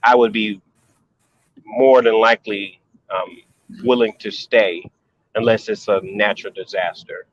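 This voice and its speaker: A man in a casual video call who talks haltingly with many pauses and restarts. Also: on the low side, steady tone, no echo, lo-fi sound. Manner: hesitant, many ums and restarts.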